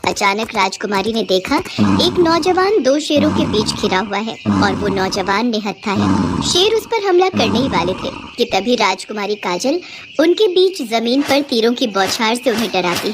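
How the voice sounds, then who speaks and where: A woman's voice: a little high, heavy background noise. A woman reading a scripted children's moral story as narrator.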